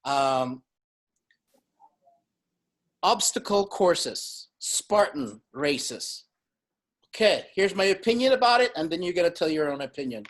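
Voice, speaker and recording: A man in his fifties hosting a casual fitness panel, emphatic and quick to laugh. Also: fairly high pitch. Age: 50s. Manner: animated, emphatic, laughs often. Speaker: host.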